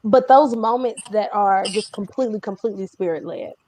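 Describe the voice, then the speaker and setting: A woman's voice: medium pitch, a little fast, darkish tone, a little hiss or hum. Woman, interview host, casual chatty delivery, emphatic storyteller, mid-range voice.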